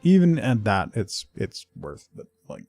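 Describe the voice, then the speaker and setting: A man's voice: on the low side, huge pitch swings, a little slow, warm tone, no echo, faint music behind. A middle-aged man streaming a game, chatting casually with viewers and muttering to himself in between. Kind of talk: casual chat while playing a game, replying to viewers.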